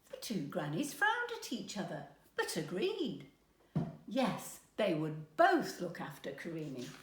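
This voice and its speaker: Slow, expressive woman reading a children's story. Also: medium pitch, roomy sound. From England. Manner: expressive, addressing a small child. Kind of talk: read-aloud children's storytelling.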